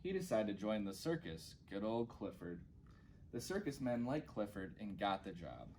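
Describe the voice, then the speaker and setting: A man's voice: fairly low pitch, big pitch swings, normal speed, darkish tone, a little hiss or hum. A man reading a children's storybook aloud as a guest reader.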